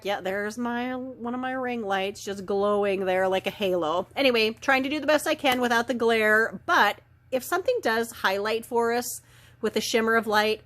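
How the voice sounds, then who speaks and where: Hoarse woman with a cold, slightly gravelly; gentle, rambling oracle reader. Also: on the high side. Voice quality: slightly gravelly, raw throat. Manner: soft spiritual talk with many ums and trailing phrases. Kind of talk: daily oracle card reading, chatty and unhurried. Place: indoor filming setup lit by ring lights.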